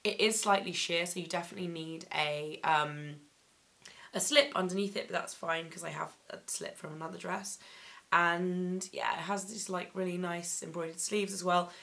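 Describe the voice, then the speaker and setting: Slightly croaky young woman vlogging. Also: fairly low pitch, fairly even intonation, normal speed, sharp tone. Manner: chatty, enthusiastic, many ums and likes. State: slightly croaky after laryngitis. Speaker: fashion vlogger. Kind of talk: casual haul vlog. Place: bedroom, indoors.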